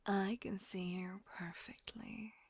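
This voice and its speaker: A woman voicing a gentle, protective female vampire caretaker in a whispered audio roleplay, soothing and coaxing. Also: medium pitch, level tone, takes it slowly, no room sound. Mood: tender whisper. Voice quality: soft breathy whisper.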